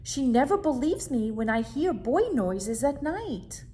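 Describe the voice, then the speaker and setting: Lady doing a squeaky little bed monster, scared then giggly, voice jumps all over. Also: measured pace, slight background noise. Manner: high, excited, giggly. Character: small scared male monster under a bed.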